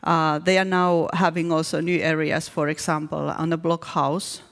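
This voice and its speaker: Finnish woman with a flat, gravelly voice. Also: sits low, normal speed. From Finland. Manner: hesitant, with frequent restarts and fillers. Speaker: keynote speaker. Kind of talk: conference presentation. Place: conference hall. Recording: reverberant conference hall.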